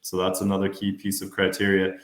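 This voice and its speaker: American man, deep voice, steady explainer, echoey room. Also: fairly quick. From United States. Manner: steady explanatory delivery with some fillers. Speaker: financial adviser. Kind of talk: webinar presentation.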